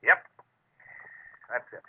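An actor playing a cynical, money-minded Navy diver with terse, dry delivery in a 1950s radio drama. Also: male voice, slow, slight background noise, lightly acted. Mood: cynical, guarded. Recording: tinny old radio recording.

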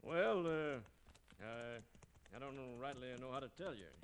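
An actor playing a sly, scheming outlaw with folksy cowboy phrasing in an old radio western. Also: male voice, medium pitch, measured pace, lightly acted. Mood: falsely friendly. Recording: noisy vintage radio recording.

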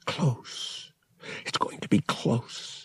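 A man's voice: a little high, sing-song, a little slow, creaky, slightly rough, no room sound, clean studio sound, pitched above the performer's own voice, full character voice. A man narrating an audiobook, voicing a bitter, defiant young male pilot who speaks with desperate urgency. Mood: tense, hushed.

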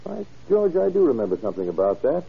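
Very husky, helpful radio-drama townsman. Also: mid-range voice, very animated, quick pace, exaggerated voice. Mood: pondering. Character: helpful, matter-of-fact male townsman, deputy or bank cashier. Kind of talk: old-time radio crime drama acting. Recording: muffled vintage radio drama recording.

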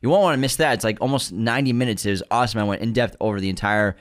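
A man's voice: on the low side, very fast. Laid-back man co-hosting a podcast. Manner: laid-back, laughs often. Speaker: podcast co-host. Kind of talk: casual chat about movie news.

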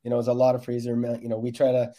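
A man's voice: medium pitch, monotone, racing, warm tone. A man who coaches soccer, answering interview questions in a conversational, explanatory way.

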